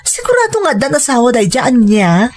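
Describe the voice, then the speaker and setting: A woman playing an excitable, concerned young best friend who cautions others, in a melodramatic Ilocano radio drama. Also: a little high, normal speed. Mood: worried, cautioning.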